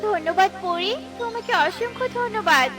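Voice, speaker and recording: Woman doing a tiny cartoon bird lady, super high, earnest n plaintive, loud music behind. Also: expressive, normal speed. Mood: grateful. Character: small, earnest, hardworking female tailorbird.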